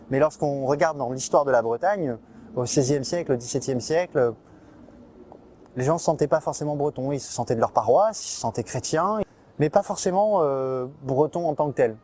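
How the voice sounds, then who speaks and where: Man, mellow voice, fluent explaining, faint ambient noise behind. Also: mid-range voice, brisk. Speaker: interviewee. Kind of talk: explanatory interview talk.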